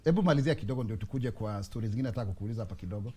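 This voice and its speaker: A man's voice: fairly low pitch, expressive, racing, dark tone, husky. A man, hosting a TV studio interview with a joking, bantering manner. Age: 40s. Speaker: TV talk show host.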